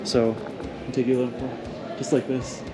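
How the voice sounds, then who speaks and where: A man's voice: mid-range voice, level tone, moderate pace, background noise. A man from Thailand talking casually to his viewers as he walks city streets, loose and full of "like" and "you guys". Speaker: travel vlogger. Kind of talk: casual travel vlog.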